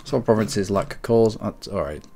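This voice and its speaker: Low gravelly man with a head cold. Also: unhurried, dark tone, a little hiss or hum. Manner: rambling, self-correcting, clears throat often. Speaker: streamer. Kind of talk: casual game commentary.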